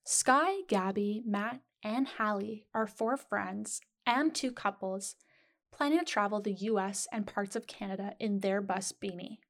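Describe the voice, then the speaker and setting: A woman's voice: mid-range voice, unhurried. A woman hosting a podcast, reading an upbeat scripted introduction. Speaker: podcast host.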